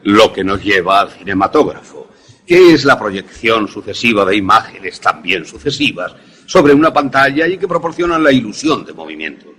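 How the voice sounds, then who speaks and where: An actor playing an older professor in a suspense radio drama, an obsessive inventor who explains things in a deliberate, didactic, husky way. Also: male voice, lowish voice, sing-song, a bit bright, full character voice. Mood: didactic, proud. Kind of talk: Spanish-language suspense radio drama acting.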